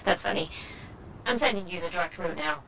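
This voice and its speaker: An actress playing an earnest, socially awkward military officer in a video game, businesslike and a little stiff. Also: female voice, lowish voice, a little fast, vocal fry, a bit bright, slight background noise, low-quality recording. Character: awkward, earnest, brisk military Sentinel woman relaying missions.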